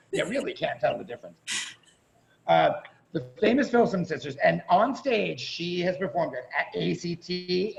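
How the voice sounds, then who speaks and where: A man with a gravelly, creaky voice gives a casual host's introduction. His delivery is informal and chatty, with playful emphasis. The video-call sound is dull and slightly noisy. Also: high-pitched. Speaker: host introducing performers.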